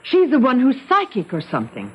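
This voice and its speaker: Blasé young wife, haughty and blunt, animated inflection, vintage radio play. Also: female voice, high-pitched, brisk, lo-fi sound, a little hiss or hum. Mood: dismissive. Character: cool, blasé, sharp-tongued young society wife. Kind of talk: 1970s radio drama acting.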